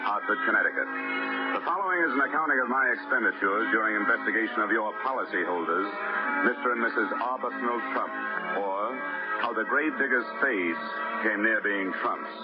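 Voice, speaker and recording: An actor playing a wisecracking insurance investigator who narrates in hardboiled first person in a 1940s radio drama. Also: male voice, on the low side, moderate pace, lightly acted. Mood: wry. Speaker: narrator and lead character. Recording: muffled 1940s radio broadcast with music.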